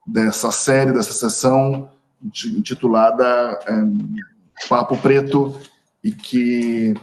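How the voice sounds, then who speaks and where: A man introducing a guest at an online academic seminar, halting often with fillers and self-corrections. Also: mid-range voice, steady tone, darkish tone, a little hiss or hum. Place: video call.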